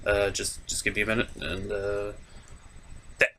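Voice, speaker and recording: A man's voice: lowish voice, completely flat delivery, a little slow, pushed and bright, a little hiss or hum. A man hosting a comedic cartoon review, narrating casually in a sarcastic, irreverent way. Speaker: host of a cartoon review show.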